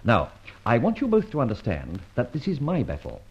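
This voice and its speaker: An actor playing a debonair, self-assured gentleman rogue in a breezy, urbane manner, as in an old radio adventure serial. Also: medium pitch, wildly swooping pitch, fairly quick, breathy edge, full character voice. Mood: brisk, assured. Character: suave, debonair gentleman adventurer, secretly a roguish thief. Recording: dull, lo-fi old radio recording.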